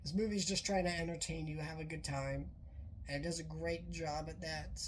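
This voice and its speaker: A woman with a lowish voice who is sick, and her voice sounds off. She reviews a film in a loose, rambling way, full of "um"s and restarts. Faint room ambience sits behind her. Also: steady tone, moderate pace, a little hiss or hum, poor audio. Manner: rambling, many filler words and restarts. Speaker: movie reviewer. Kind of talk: casual spoken film review.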